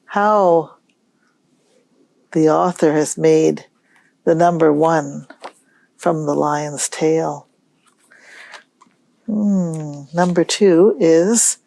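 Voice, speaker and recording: A woman hosting a storytime for young children, reading aloud in a gentle, musing way and pausing to pose questions. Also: low voice, gravelly, no room sound. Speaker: children's library storytime host.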